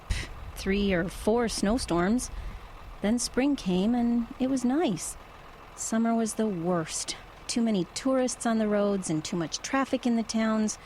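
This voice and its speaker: An actress plays a woman who drives for a living and tells her eerie story in a radio play. Her voice sits in a middle register. She is wry and understated, pausing as she thinks. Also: medium pitch, a little mellow, a little hiss or hum, natural voice. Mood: wry, reflective. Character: wry, low-key woman delivery driver recounting eerie sightings. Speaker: lead actress and narrator. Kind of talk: audio drama narration and dialogue.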